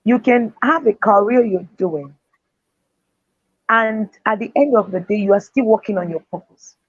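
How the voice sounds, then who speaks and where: A woman's voice: medium pitch, normal speed, husky, dry recording. A woman speaker and author giving earnest, faith-driven motivational answers in an interview. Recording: dull, dry lo-fi sound.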